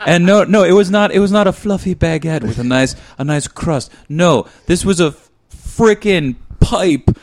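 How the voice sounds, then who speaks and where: A man's voice: sits high, normal speed, few pauses. A man in podcast banter, telling an anecdote with exaggerated, profane comic grumbling. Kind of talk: joking banter.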